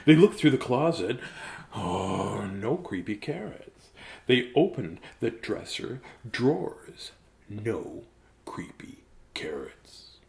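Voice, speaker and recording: A man's voice: fairly low pitch, very animated, slow, gravelly, a little mellow. A man reading a children's bedtime story theatrically, slipping into whispers and repeating words for drama.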